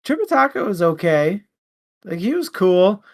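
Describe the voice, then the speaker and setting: An unhurried speaker with a mid-pitched voice chats casually about a book. The delivery is blunt and joking, in loose everyday phrasing. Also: sing-song. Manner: joking, blunt, laughs often. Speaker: co-host of a book discussion show.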